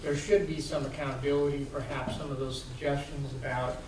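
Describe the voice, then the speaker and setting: Man, local resident, measured, many fillers, distant mic, echoey hall. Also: medium pitch, little pitch movement, noisy background. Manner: measured, with frequent ums and uhs. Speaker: local resident speaking at a public hearing. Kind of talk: public comment at a town meeting. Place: large echoey meeting hall.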